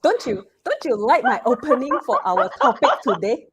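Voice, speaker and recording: A woman with a Malaysian accent, hosting an online talk show in an energetic, animated way. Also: a little high, moderate pace, very noisy. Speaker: host.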